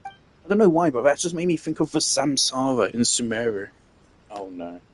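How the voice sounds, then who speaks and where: A man's voice: medium pitch, big pitch swings, moderate pace, breathy edge. A man giving casual, rambling game commentary, musing hesitantly and trailing off. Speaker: game streamer. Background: game music.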